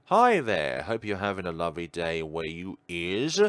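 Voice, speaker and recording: A man's voice: deep voice, sing-song, moderate pace, fairly bright tone, voice pushed down. Man voicing a cheery, eager young woman guide. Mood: cheerful, welcoming. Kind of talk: visual novel character read-aloud. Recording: music playing behind the voice.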